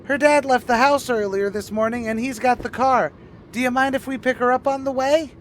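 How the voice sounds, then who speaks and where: Goofy high squeaky teen boy, old radio comedy, eager, faint car noise behind. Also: fairly even intonation, fairly quick, fairly bright tone. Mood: eager, sheepish. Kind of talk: 1950s radio sitcom acting.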